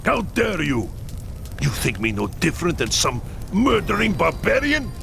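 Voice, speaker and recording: An actor playing a bitter, vengeful middle-aged clan leader in a video game, heated and dramatic, with a gravelly voice. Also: male voice, fairly high pitch, wildly swooping pitch, unhurried, heavily acted. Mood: bitter anger. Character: bitter, vengeful human clan leader, grieving husband and father. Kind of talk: video game cutscene acting. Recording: loud music behind.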